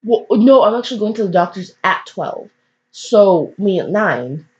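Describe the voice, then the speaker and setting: A woman's voice: mid-range voice, animated, a little slow, darkish tone, breathy edge. A woman casually reading a story aloud, stumbling and breaking off for chatty, jokey asides. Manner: self-interrupting, stumbling, jokey. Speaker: fan fiction reader. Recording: dull lo-fi microphone.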